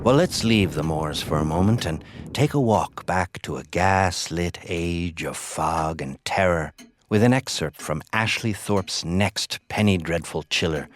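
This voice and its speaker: Very deep-voiced ominous male horror presenter. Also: unhurried, a little hiss or hum. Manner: ominous, dramatic. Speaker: series presenter. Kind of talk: scripted theatrical horror narration. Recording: music behind the voice.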